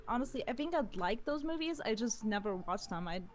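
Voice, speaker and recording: A young woman with a mixed Belgian and Lithuanian accent, a Lithuanian native, speaking softly and a little hesitantly as a guest in a casual stream interview. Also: fairly high pitch, lively intonation, fairly quick.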